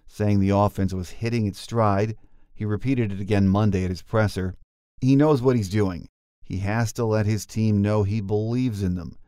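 A man hosting a sports podcast, giving earnest, measured fan opinion, then opening an interview. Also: on the low side, dark tone, clean tone, no echo, clean studio sound. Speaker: podcast host. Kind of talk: scripted sports commentary and interview.

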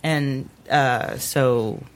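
A woman's voice: a bass voice, steady tone, extremely slow. A woman chatting casually on a panel show, informal and candid, sometimes hesitant.

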